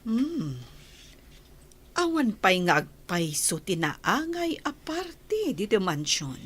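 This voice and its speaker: Husky, slow, coaxing mother. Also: mid-range voice, big pitch swings, exaggerated voice. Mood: coaxing, curious. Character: doting, inquisitive mother of a grown son. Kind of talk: radio drama acting.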